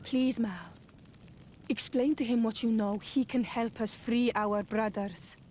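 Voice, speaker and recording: A woman's voice: fairly high pitch, steady tone, unhurried, a little mellow, slight background noise, lightly acted. An actress playing an earnest, pleading rebel woman in a fantasy video game.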